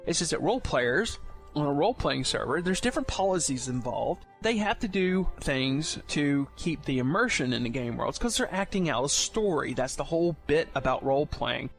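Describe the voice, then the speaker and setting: A man's voice: a little high, sing-song, normal speed, gravelly. A man presenting an informal explainer for new players in a conversational, explanatory way. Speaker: podcast segment presenter. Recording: music playing behind the voice. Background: music.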